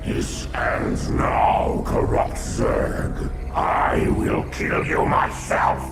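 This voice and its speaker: An actor playing a savage, arrogant alien monster warlord in a video game, bellowing and menacing with a harsh snarl. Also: male voice, extremely slow. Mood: furious. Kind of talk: video game villain acting. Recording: loud music under the voice.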